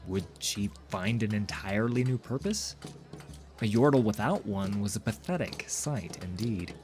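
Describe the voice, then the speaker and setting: Expressive low-voiced man narrating fantasy. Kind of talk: dramatic scripted fantasy story narration.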